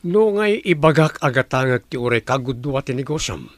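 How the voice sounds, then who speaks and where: A man's voice: mid-range voice, expressive, normal speed, no room sound, close to an everyday voice. An actor with an Ilocano accent playing a jealous, rejected young businessman who pleads and sulks. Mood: pleading. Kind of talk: radio drama acting.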